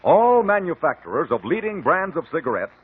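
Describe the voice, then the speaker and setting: A male commercial announcer in vintage radio style. His fairly high, slightly rough voice swoops emphatically through a declamatory sales pitch. It sounds like a narrow old broadcast. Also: very animated, brisk. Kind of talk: 1950s radio advertising pitch. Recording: tinny lo-fi 1950 radio broadcast.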